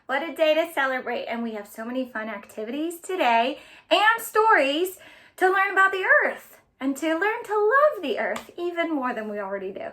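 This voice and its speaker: A woman's voice: high voice, very animated, moderate pace, fairly bright tone. A woman hosting a children's storytime, reading aloud in an exaggerated, sing-song way for young listeners. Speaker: children's librarian, storytime host. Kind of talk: children's storytime, read-aloud and singing along.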